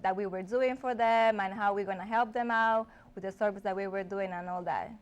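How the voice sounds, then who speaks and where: A young woman from Ecuador whose first language is Spanish, speaking with a smooth, clear voice at an ordinary pitch. She answers casually and chattily as a TV studio guest, picked up on a lapel mic. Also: fairly even intonation, fairly quick. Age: young adult. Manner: chatty, many "like" fillers.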